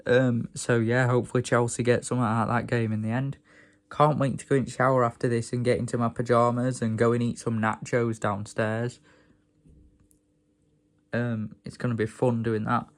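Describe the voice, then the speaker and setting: A young man from England, hoarse from a sore throat, rambling and grumbling in a casual vlog rant. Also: medium pitch, flat delivery, moderate pace. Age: young adult. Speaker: vlogger.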